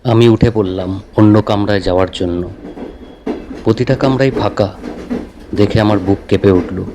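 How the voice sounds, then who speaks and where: A man's voice: fairly low pitch, normal speed, noisy background. A man giving a scripted first-person narration of a horror story. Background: music.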